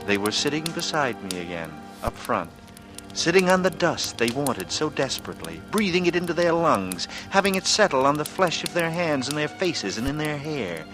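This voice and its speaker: A man's voice: on the high side, big pitch swings, moderate pace. An actor playing a secretly guilty man who confides tensely and feigns innocence, in 1940s radio noir style. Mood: secretly smug. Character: secretly guilty strangler narrating, nervy, falsely casual man from the East. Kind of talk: 1940s radio noir acting, first-person narration. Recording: old radio recording with loud music.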